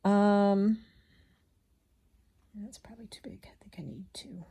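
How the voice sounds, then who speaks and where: Woman craft vlogger: soft-edged, unhurried delivery, slightly gravelly, chatty and self-interrupting, casual stitching-along ramble. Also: medium pitch, steady tone. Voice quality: slightly gravelly from a frog in the throat. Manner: chatty, absent-minded, self-interrupting, mutters to self. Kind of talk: casual rambling chat while hand stitching.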